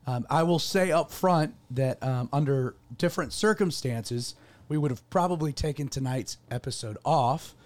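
A man's voice: mid-range voice, normal speed. A man hosting a radio show as a pastor, talking casually with fillers while his voice cracks from having lost it. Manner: good-humoured, rambling with fillers. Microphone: studio microphone.

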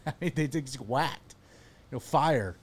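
A man who pastors and hosts a talk show, chatting casually with a panel, animated and self-deprecating, often restarting his sentences. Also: mid-range voice, unhurried. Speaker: pastor and podcast host.

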